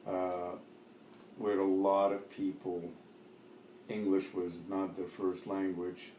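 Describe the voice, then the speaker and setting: A Lebanese-born man in his fifties, Arabic his first language, answering an oral history interview in a halting way with many fillers and restarts. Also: low voice, monotone, warm tone, roomy sound, a little hiss or hum.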